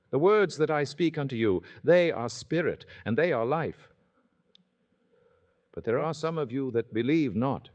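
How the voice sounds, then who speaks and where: A man reading scripture aloud in a solemn, declamatory manner, in the dramatic style of old-time radio. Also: medium pitch. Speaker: scripture reader.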